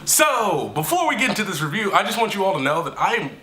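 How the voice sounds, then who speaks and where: A young man hosting a casual review, talking in an animated, chatty way, a bit stuffy from allergies. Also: high-pitched, fast, roomy sound, music in the background. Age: young adult. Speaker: show host, reviewer. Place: small indoor office room.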